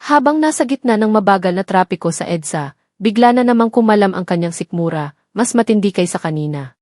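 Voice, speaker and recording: A woman's voice: medium pitch, lively intonation, fairly quick, a little mellow, no echo, clean studio sound. A woman reading a scripted dramatic story as its narrator.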